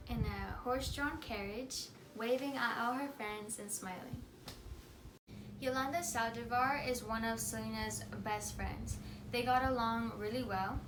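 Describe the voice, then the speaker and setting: A woman's voice: fairly high pitch, distant mic. Young woman giving a speech, echoey room. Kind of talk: presentation speech. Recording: echoey room, voice from off the mic, noisy.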